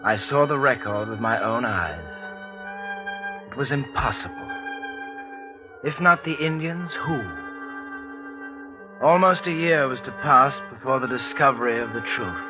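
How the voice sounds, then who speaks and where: Actor as urgent young gentleman. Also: mid-range voice, animated, unhurried, pauses often, lightly acted. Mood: stunned, brooding. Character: well-bred young Victorian gentleman, urgent and hot-headed. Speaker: lead actor and narrator of the story. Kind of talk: 1950s radio suspense drama acting. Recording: dull vintage radio recording, orchestral music.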